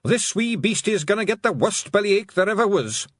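A brash little Scottish pixie chief, as a man puts him on, loud and boastful with a broad brogue. His voice leaps high and swings widely, with a slightly husky edge, recorded close and dry. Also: animated, moderate pace, no room sound. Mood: defiant. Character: small fierce Scottish pixie chief, bold.

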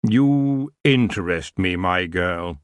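A man voicing a lazy, seductive male elf king who drawls his words slowly. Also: sits low, animated, smooth, no room sound, studio quality. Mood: languid. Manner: slow, languid, drawling.

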